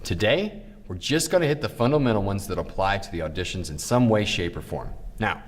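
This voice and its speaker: A man's voice: fairly low pitch, expressive, fairly quick. A man presenting acting tips in scripted narration with casual asides.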